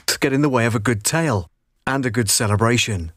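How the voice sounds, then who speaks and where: Male voiceover, middle-aged, medium pitch, scripted promotional documentary read, very dry close booth recording. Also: quick pace, dry recording. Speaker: voiceover artist. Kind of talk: scripted documentary-style narration, demo takes. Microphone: close studio microphone.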